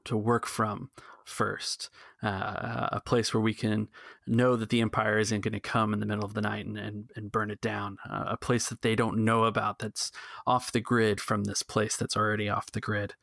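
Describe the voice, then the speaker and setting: A man playing an earnest cantina keeper in a tabletop space adventure, urging rebellion in a halting, persuasive way. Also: on the low side, fairly even intonation, vocal fry, fairly bright tone, no room sound, clean studio sound. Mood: conspiratorial, earnest.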